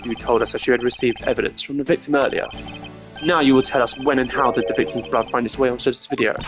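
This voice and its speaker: A man's voice: mid-range voice, steady tone, lightly acted. Male streamer as video game prosecutor, formal and self-important, mid-range voice, strong music bed. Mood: accusing. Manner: measured, formal, pompous. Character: cool, formal male prosecutor with deductive mind. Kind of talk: amateur video game voice-over. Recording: loud music under the voice.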